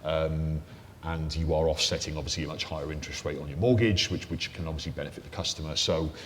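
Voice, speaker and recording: Deep-voiced man on a panel. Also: fairly even intonation, quick pace. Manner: conversational, hedging with fillers. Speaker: mortgage lender panelist. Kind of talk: panel discussion. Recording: echoey hall, faint noise.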